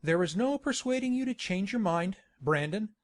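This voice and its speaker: A hearty country gentleman, as a woman reader puts him on, bluff and insistent. His voice bounces about in a lively way as he coaxes. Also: mid-range voice, normal speed, pitched above the performer's own voice, natural voice. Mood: coaxing, bluff.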